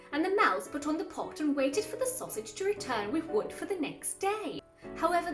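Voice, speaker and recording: A woman narrating a storybook fairy tale as host, in a measured, deliberate storytelling manner. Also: sits high. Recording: roomy, distant mic with music underneath. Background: classical music.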